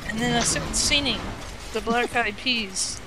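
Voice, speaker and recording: High-pitched woman rambling through a story, noisy recording. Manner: rambling, halting, acts out quoted dialogue. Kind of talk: casual improvised storytelling over a game chat. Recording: noisy amateur voice chat.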